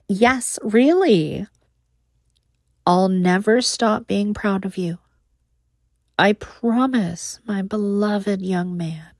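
A woman playing a doting, protective motherly lover, speaking softly in a cooing, often whispered way close to the mic. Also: medium pitch, very slow, long pauses, dry recording. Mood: soothing, doting. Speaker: audio roleplay performer. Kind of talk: intimate whispered audio roleplay.

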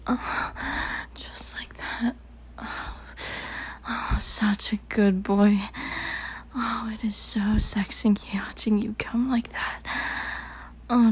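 A woman's voice: a little high, a little mellow, slightly rough. A woman whispers nearly every line in a thin, airy voice with heavy breath. She takes her time between phrases and talks in a teasing, commanding way, as in whispered roleplay audio. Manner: mostly unvoiced whisper.

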